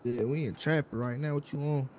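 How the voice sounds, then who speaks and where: A man's voice: mid-range voice, steady tone, a little fast, darkish tone. Man, casual slangy game chat, noisy recording, vehicle ambience. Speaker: player in an online role-play game. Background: car and street ambience from the game.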